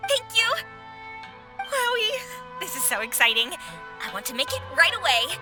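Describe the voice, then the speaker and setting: A woman voicing an eager, excitable young restaurant owner in a video game. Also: very high-pitched, unhurried, exaggerated voice. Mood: excited. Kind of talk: video game cutscene voice acting. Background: game music.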